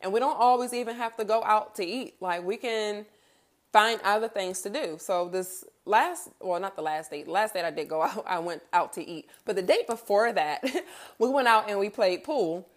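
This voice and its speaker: A woman's voice: mid-range voice, moderate pace. A woman of thirty talking candidly and casually in a reflective personal monologue, as a podcast host, in colloquial phrasing and often checking in with the listener.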